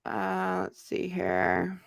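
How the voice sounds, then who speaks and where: A woman's voice: fairly low pitch, monotone, drawn-out words, gravelly, no room sound. An American woman hosting a casual livestream, talking conversationally in loose fragments as she responds to viewers. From United States. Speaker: livestream host, YouTuber. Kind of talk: casual livestream chat, answering viewer comments.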